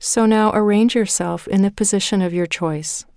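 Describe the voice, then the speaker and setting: Calm lady reading a guided meditation, smooth voice, really dry close sound, measured with pauses. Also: mid-range voice, dry recording. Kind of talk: guided meditation, scripted narration.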